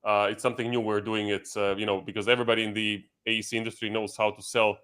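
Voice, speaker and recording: A man's voice: fairly low pitch, level tone, fast, fairly bright tone, smooth. A man from Croatia with a Croatian accent, talking casually and chattily as a guest on a panel, often interrupting himself. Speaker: guest, tech entrepreneur.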